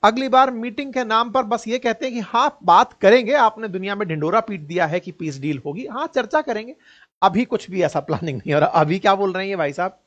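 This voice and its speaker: A man's voice: high-pitched, fairly quick, a bit bright, lo-fi sound. An Indian man giving informal, colloquial news commentary with a mocking edge, often putting rhetorical questions straight to his listeners.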